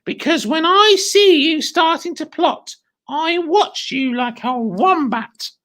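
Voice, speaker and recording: Shrill, screechy nasty old hag for a storybook reading, pitched very high, slow and drawn out. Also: female voice, animated, a bit bright, full character voice. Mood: cackling. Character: nasty shrieking old woman.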